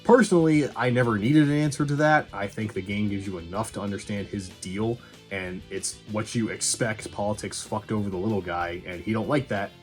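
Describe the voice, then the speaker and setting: A man giving a casual movie review as a video host, blunt, conversational and quick to swear. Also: lowish voice. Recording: music behind the voice.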